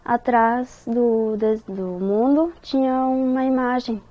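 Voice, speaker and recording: A woman's voice: on the high side, fairly even intonation, no room sound, dull audio. A woman with a southern Brazilian accent who reads a short story aloud and then retells it in her own words. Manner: slow careful reading, quicker loose retelling. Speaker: interviewee.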